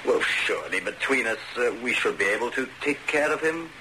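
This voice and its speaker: A man's voice: medium pitch, animated, a little fast, bright, forceful tone. An actor playing a pompous, scheming Foreign Office man in a radio drama, with an arch British delivery. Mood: smug, plotting. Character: pompous, languid male Foreign Office schemer, easily affronted. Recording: noisy, hissy radio drama recording.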